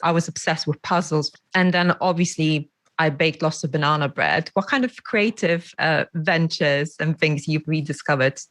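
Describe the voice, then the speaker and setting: Low-voiced woman host, dry close sound. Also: no echo. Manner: friendly, chatty, uses kind of and you know. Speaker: podcast host. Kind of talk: interview hosting.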